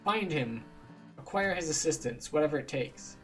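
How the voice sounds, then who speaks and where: A man's voice: fairly high pitch, animated, normal speed, faint music behind, lightly acted, poor audio. Man voicing an urgent quest-giver.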